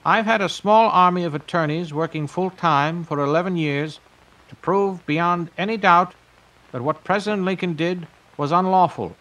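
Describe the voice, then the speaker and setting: An actor playing a self-important old billionaire delivering a formal, oratorical recorded message. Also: male voice, high-pitched, normal speed, fairly bright tone, low-quality recording. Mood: solemn, grandiose. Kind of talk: prepared speech in an audio drama.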